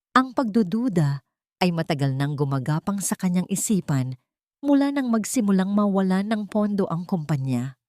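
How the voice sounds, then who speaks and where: A woman's voice: fairly low pitch, darkish tone, no echo, clean studio sound. A woman with a Filipino accent reading a scripted, dramatic story as a storyteller.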